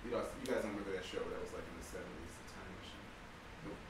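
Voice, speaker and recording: A man's voice: sits low, fairly even intonation, very fast, very noisy. A man leading a music workshop, casually coaching students and joking with them in a large wooden room. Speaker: workshop leader. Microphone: far room mic. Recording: echoey room from far off.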